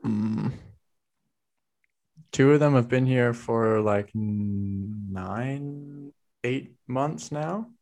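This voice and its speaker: A man interviewing a guest in casual conversation, relaxed and chatty, asking open questions. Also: medium pitch, extremely slow, long pauses, faint music behind.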